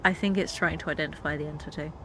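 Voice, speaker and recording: A woman's voice: deep voice, steady tone, racing, slight background noise. A woman chatting casually and playfully as a player in a tabletop game. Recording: soft video game music behind. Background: soft video game music.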